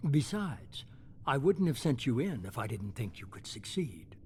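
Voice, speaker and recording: An actor playing a shadowy, coolly self-justifying middle-aged leader in a video game, measured, authoritative and unapologetic. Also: male voice, medium pitch, very animated, darkish tone, a little hiss or hum, lightly acted. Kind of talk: dramatic video game dialogue.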